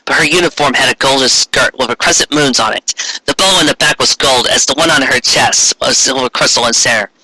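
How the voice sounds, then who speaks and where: Theatrical man with a very bright voice. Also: medium pitch, brisk, slightly rough, no room sound, low-quality recording. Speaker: fan fiction reader, commentator. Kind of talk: comic dramatic read-aloud with mocking asides.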